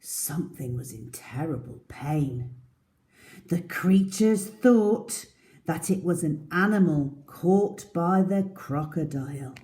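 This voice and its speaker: A woman reading a storybook aloud to young children as a teacher, in a deliberate, expressive manner. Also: deep voice.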